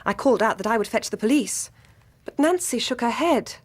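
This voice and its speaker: Earnest, troubled gentlewoman. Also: on the high side, expressive, breathy edge. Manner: grave, deliberate, formal. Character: earnest, troubled young gentlewoman, horrified witness. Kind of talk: radio drama acting.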